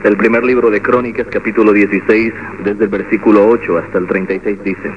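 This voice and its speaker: A man reading a long scripture passage aloud at a church service, measured and formal. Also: on the low side, fairly even intonation. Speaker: scripture reader. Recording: muffled with background noise.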